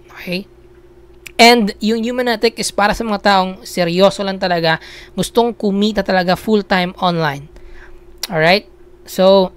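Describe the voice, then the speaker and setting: A Filipino woman talks through an explainer video in a smooth, clear voice. Her pitch sits in the middle range. She keeps it casual, checking in with the listener and restating her points for emphasis. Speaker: video host. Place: small indoor room at a computer.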